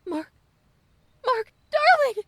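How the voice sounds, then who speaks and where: A woman's voice: very high-pitched, noisy background, full character voice. A woman playing an anxious, devoted middle-aged wife and mother in old time radio drama style, hesitant and pleading. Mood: frantic, tearful. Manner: hesitant, breaking off mid-sentence.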